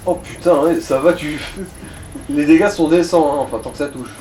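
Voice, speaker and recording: A man's voice: on the high side, normal speed, darkish tone, slight background noise. A man giving casual, crude advice and commentary alongside someone playing a game. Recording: roomy echo.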